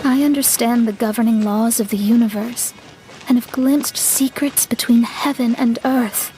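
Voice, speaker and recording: Game heroine, young astrologist actress: high voice, articulate, earnest, reflective and quietly proud. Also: female voice, fairly even intonation, lightly acted, poor audio. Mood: solemn, resolute. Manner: measured, articulate, solemn dramatic delivery. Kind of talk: video game cutscene voice acting.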